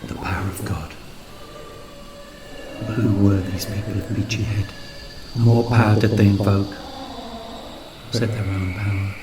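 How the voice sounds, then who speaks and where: A man reading scripted literary narration as a storyteller, in measured, deliberate phrases with pauses. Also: on the low side, fairly even intonation, background noise. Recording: music under the voice. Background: music.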